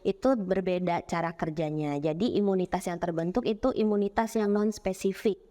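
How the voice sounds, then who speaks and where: A woman's voice: lowish voice, a little fast, dark tone. A woman pediatrician with an Indonesian accent, patiently explaining child health on a podcast with fillers and restarts. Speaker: pediatrician guest.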